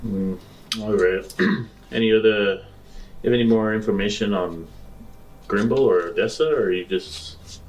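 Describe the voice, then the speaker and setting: A man's voice: lowish voice, measured pace, pauses often, a little mellow, natural voice. A man playing a wry, skeptical space adventurer with a hesitant, dry drawl, recorded on a distant mic. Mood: polite, hesitant. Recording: distant mic, clear background noise.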